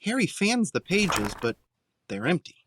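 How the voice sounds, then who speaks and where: A man's voice: high voice, sing-song, measured pace, a bit bright, slight background noise. A man narrating a scripted audio drama, describing the scenes as a storyteller.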